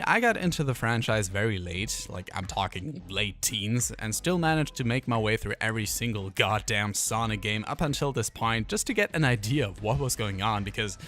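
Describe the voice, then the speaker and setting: A man's voice: medium pitch, a bit bright, no echo, studio quality. A young man giving casual, scripted film commentary in a wry, analytical, conversational way. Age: young adult. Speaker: video reviewer and commentator. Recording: background music behind voice-over.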